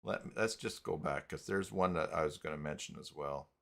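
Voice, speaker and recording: A man hosting a live stream tutorial in a casual, halting way, thinking aloud as he goes. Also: rumbling low, creaky, a bit bright. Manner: halting, thinking aloud while clicking around. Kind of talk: casual live tutorial talk, reading chat.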